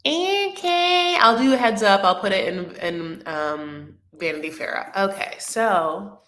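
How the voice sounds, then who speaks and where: A woman's voice: mid-range voice, lively intonation, takes it slowly, a bit bright. A woman hosting a casual live stream about reality TV, chatting in a rambling way with many fillers and restarts. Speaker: reality TV recap livestream host. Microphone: webcam or phone on a live stream. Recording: echoey room.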